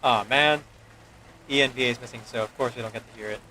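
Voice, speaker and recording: A man's voice: medium pitch, quick pace, noisy background. Casting: male streamer, conversational and easygoing, mid-range voice, indoors with faint rain behind. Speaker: game streamer. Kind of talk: live game stream, reading dialogue aloud and chatting. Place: indoors with storm outside.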